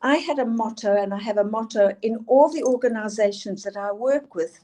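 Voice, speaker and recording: Older South African woman, emphatic presenter, warm low-brightness tone. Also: moderate pace. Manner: earnest, emphatic, asks for next slides. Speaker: school leadership speaker. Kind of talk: presenting slides in a talk.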